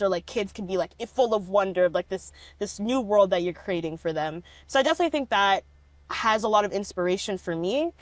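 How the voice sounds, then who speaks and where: A woman's voice: medium pitch, dry recording. A young woman in a casual interview, often laughing and filling her speech with "like". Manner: laughing often, many "like" fillers. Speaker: documentary subject, speech competitor.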